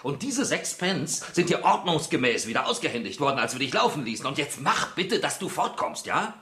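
In a roomy, echoing space, a dutiful male policeman talks with lively swings of pitch. He is impatient and matter-of-fact, in the style of a radio crime play. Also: a little fast. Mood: exasperated. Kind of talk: German radio crime drama acting.

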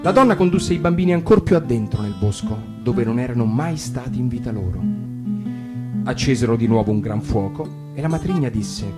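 A man reading a fairy tale aloud on radio in a calm, measured storytelling voice. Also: medium pitch. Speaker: reader. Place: radio studio. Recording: guitar music underneath.

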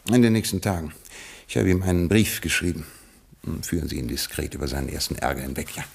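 A British lord of faded fortune in a radio play. His voice is extremely deep, with a creaky, rough grain. He speaks formally, a little hesitantly, with dry aristocratic understatement. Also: lively intonation, quick pace, exaggerated voice. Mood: stately, composed. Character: impoverished, absent-minded British lord, resigned and aristocratic. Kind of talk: German radio play acting.

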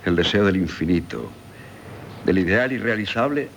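An actor playing a middle-aged, devoted and sorrowful doctor husband in a radio drama, solemn and theatrical, with a Castilian Spanish accent. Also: male voice, deep voice, expressive, moderate pace, vocal fry, fairly bright tone, full character voice. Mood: sorrowful resignation. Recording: slightly noisy 1970s radio recording.

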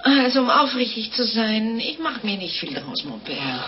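Lively, high-voiced innkeeper's wife, chillingly matter-of-fact. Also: female voice, normal speed. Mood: breezy, self-justifying. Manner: matter-of-fact, guileless, chatty. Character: French innkeeper's wife calmly confessing murders. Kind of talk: 1950s German radio drama acting. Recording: dull, noisy old radio recording.

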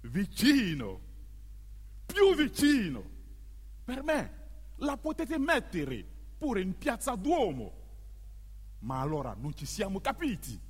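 An actor plays an ironic male stage storyteller with a high, husky voice. He declaims slowly, with emphatic pauses and huge swings of pitch. The sound rings in a long hall reverb. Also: huge pitch swings, a little mellow, exaggerated voice. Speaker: stage narrator. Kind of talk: theatrical monologue for radio theatre.

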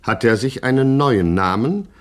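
An actor playing a bombastic storyteller, declaiming a mock-heroic gangster tale as radio narration. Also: male voice, mid-range voice, animated, a little slow, close to an everyday voice. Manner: declamatory, grandiose.

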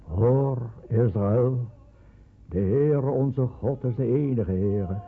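A man acting in a radio drama as a devout, solemn father of a family, speaking deliberately. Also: medium pitch, faint music behind. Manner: slow, deliberate. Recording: thin phone-like radio drama recording.

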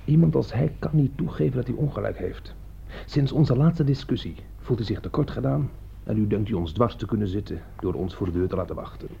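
An actor in a Dutch radio play playing an opinionated, touchy jurist who argues in a pedantic, scornful way. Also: male voice, on the low side, animated, a little fast, vocal fry, mellow. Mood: grumbling. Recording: dull, noisy old radio play recording.

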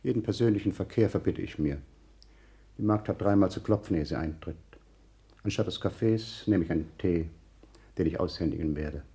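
A fussy man of science in a 1960s radio play. His voice is fairly low and muted in tone. He speaks primly and precisely, like someone laying down conditions. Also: brisk, pauses often, dark tone. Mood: prim, demanding. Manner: clipped, pedantic, demanding. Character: fussy, prim, suspicious scientific gentleman seeking a room.

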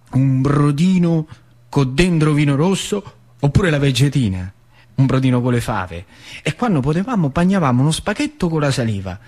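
A man with a central Italian accent performing a colloquial theatrical monologue, repeating phrases for dramatic effect. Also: on the high side. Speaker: stage actor and storyteller. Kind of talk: theatrical monologue, first-person storytelling.

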